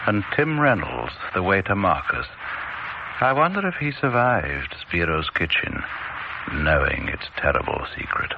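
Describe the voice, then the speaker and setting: Grave male narrator, very low voice, hissy old radio broadcast. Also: big pitch swings, a little slow, creaky. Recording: dull noisy old radio recording.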